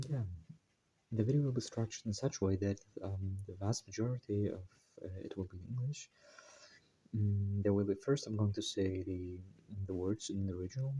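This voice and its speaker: Male channel host, deep dark tone, hesitant delivery with many restarts, working at a put-on American accent. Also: husky, dull audio. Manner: hesitant, full of fillers and self-corrections; deliberately putting on an American accent. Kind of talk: casual spoken introduction to a text study.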